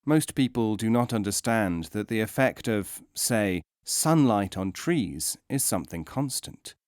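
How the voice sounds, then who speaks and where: A man's voice: lowish voice, animated, a little slow, a little mellow, dry recording. Dry, closely recorded male audiobook narrator. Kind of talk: scripted narration of philosophical teaching stories.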